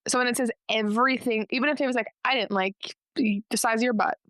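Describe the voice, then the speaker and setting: A young woman hosting a casual podcast chat, talking fast and loose with lots of "like" and a slightly nasal voice. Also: on the high side, fairly even intonation, a bit bright, no echo. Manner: chatty, heavy use of "like", quick asides. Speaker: podcast host.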